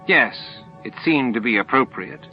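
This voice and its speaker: A man's voice: medium pitch, animated, moderate pace, natural voice. A man voicing a flustered, anxious male alien underling in an audiobook, gasping, pleading and indignant. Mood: appalled. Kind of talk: audiobook character acting. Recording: dull lo-fi tape with music.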